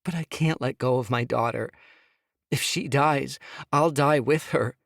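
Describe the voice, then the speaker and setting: A man's voice: mid-range voice, unhurried, vocal fry, no echo, studio quality, voice pushed up. A frightened young mother pleading through tears, as a male narrator puts her on. The voice is lifted well above the reader's own and pushed hard with strain. It is a storybook figure, anxious and despairing.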